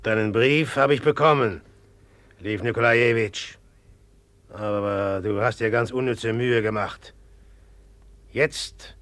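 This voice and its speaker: A man's voice: medium pitch, fairly bright tone, dry recording. A man acting a brooding, jealous rival in a German radio play, blunt, sardonic and needling with resentment. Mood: curt, urgent.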